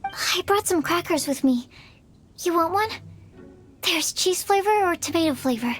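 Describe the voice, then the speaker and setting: A woman's voice: very high-pitched, animated. An actress playing a shy, earnest, bookish young woman alchemist in a video game, speaking hesitantly and awkwardly. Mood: quietly eager. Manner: hesitant, soft-hearted, halting phrasing.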